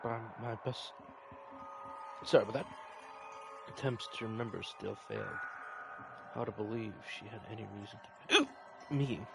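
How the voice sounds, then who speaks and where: A man's voice: lowish voice, normal speed, creaky, pauses often. A man reading a visual novel's narration and dialogue aloud, sometimes stumbling and rereading words.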